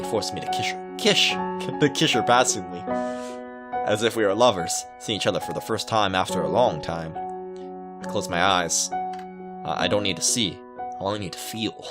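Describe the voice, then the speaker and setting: A man reading a visual novel aloud as a let's player, adding playful joking asides. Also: on the low side. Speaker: video game let's player. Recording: music under the voice. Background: game music.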